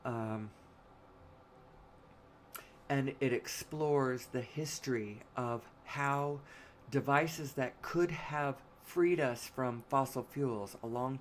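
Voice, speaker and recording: A woman with a hoarse, raspy voice giving a solo spoken monologue, pausing often to cough and clear her throat. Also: a bass voice, slight background noise.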